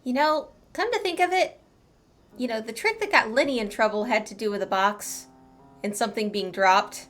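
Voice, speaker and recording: A woman's voice: fairly high pitch, moderate pace, a bit bright. A woman giving casual, playful commentary as she plays through a video game. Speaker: gaming streamer. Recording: faint game music behind.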